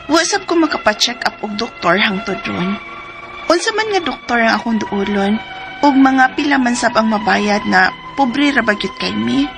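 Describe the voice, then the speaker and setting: A woman's voice: mid-range voice, close to an everyday voice. A young woman with a Cebuano accent playing a suffering, plaintive teenage schoolgirl in a radio drama.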